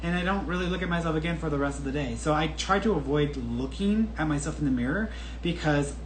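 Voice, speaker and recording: A man's voice: fairly high pitch, fast talker. A man in his thirties, a podcast host answering a viewer's question in a casual, candid, chatty way. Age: 30s. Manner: chatty and candid, with frequent restarts and laughs. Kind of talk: casual solo talk. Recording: echoey room with faint background noise.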